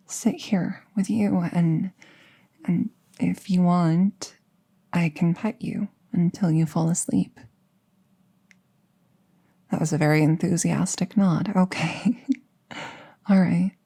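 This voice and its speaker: A woman playing a gentle, affectionate young apprentice witch in an intimate roleplay audio, speaking softly and haltingly, often near a whisper. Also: fairly low pitch, husky, heavily acted. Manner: halting, gushing, soothing. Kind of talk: intimate roleplay audio, soft-spoken ASMR.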